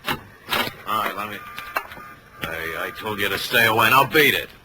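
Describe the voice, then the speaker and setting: An actor playing a cocky, defensive young chauffeur with slangy, evasive talk in 1940s radio style. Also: male voice, lowish voice, animated, measured pace, noisy background. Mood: agitated.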